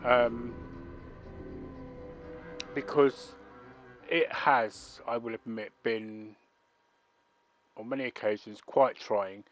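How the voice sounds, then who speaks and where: A man commenting over his gameplay, rambling and halting, with restarts and fillers. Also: mid-range voice, fairly even intonation. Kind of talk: let's play commentary. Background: game music.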